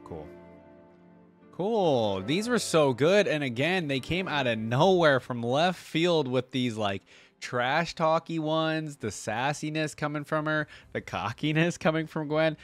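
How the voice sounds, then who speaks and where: A man's voice: mid-range voice, no echo. A young man with an ordinary mid-range voice. He chats casually as a reaction host, rambling through his thoughts in slangy, enthusiastic fan commentary.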